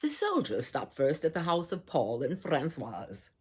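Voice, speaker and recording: A woman reading a children's storybook aloud in a theatrical, deliberate way, as if addressing young listeners. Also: deep voice, huge pitch swings, slight background noise. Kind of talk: performed children's storybook narration.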